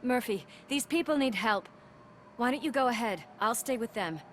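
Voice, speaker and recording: A woman's voice: fairly high pitch, animated, moderate pace, a little hiss or hum, lightly acted. An actress playing a composed, professional policewoman in a video game. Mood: businesslike.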